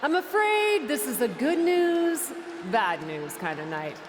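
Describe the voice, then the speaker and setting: A cocky female wrestler making a scripted video game promo. Her fairly high voice swoops with showy sarcasm and self-importance. It rings with long reverb over clear noise. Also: big pitch swings, slow, over music, heavily acted. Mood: wry, showy.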